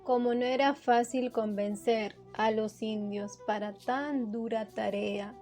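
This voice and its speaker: A woman's voice: fairly high pitch, fairly even intonation, slow, dark tone. A woman narrating a scripted radio novel. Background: music.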